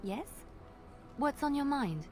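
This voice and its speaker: A woman's voice: high-pitched, animated, takes it slowly, a little mellow, faint music behind. Coy, devout young minstrel woman. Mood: pleasant. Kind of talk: video game dialogue acting.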